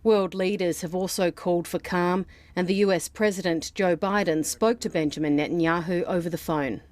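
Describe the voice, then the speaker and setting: A woman narrating a scripted news report in an even, formal voice-over. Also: fairly low pitch, normal speed. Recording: faint background noise.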